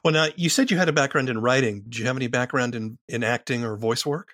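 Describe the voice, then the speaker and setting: A man hosting a podcast, narrating and interviewing with a slightly husky voice. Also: medium pitch, quick pace, fairly bright tone. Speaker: podcast host.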